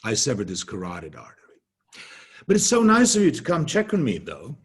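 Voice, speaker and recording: A man's voice: medium pitch, huge pitch swings, brisk, slight background noise. A man voicing a sardonic, dangerous, unrepentant prisoner in a drama read, dripping with sarcasm. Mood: sarcastic. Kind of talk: scripted drama table read.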